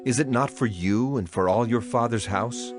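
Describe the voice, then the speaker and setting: A man's voice: mid-range voice, normal speed, close to an everyday voice. An actor playing a stern, authoritative male prophet in a dramatized scripture, solemn and declamatory. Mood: assured, solemn. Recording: music behind the voice.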